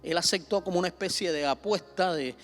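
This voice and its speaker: A man's voice: high voice, a little fast, fairly bright tone. A middle-aged Cuban man, a pastor teaching a Bible seminar in a conversational way, with many fillers, repeating himself and pushing up sharply when he stresses a point. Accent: Cuban Spanish. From Cuba.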